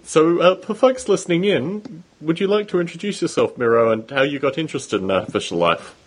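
A man hosting a podcast interview, asking long, measured questions. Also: on the high side. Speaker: podcast host.

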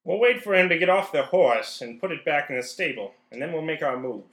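Earnest, animated young performer, played by a man. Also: fairly high pitch, a little fast. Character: earnest, indignant young male stage performer. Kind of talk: old-time radio play acting. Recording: roomy, echoing recording.

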